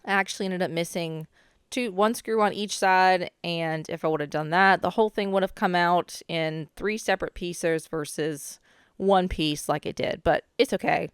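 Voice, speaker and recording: A young woman, a little hoarse from a cold, casually narrating her own renovation footage with chatty asides. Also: lowish voice, moderate pace, no room sound, studio quality. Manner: chatty, relaxed, with frequent "um" asides. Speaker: DIY renovation vlogger.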